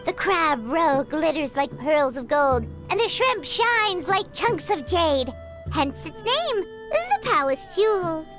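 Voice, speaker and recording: A woman voicing a small, childlike, chirpy food-loving companion in an animated fantasy game. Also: very high-pitched, takes it slowly, heavily acted. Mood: grandly descriptive. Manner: refers to self in third person, exclamatory. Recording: music under the voice.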